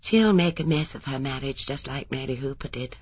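Woman reading as an old shrewd spinster, quiet and husky, low voice, dull recording; audiobook. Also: a little fast, no room sound. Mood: musing. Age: older. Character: elderly genteel spinster, shrewd and observant.

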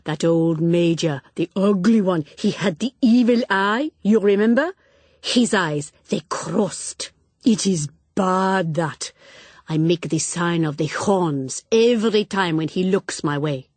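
A woman voicing a flamboyant, superstitious middle-aged lady, loud and theatrical. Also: fairly low pitch, slow pace, no room sound. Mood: dramatic. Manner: theatrical, emphatic, loud declarations. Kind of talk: audiobook character voice.

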